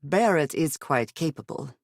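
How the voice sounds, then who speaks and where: An actress voicing a guarded, earnest female spacefarer in a video game, grave and loyal, with formal, measured phrasing. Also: deep voice, lively intonation, no room sound, studio quality, heavily acted. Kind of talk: video game character voice lines.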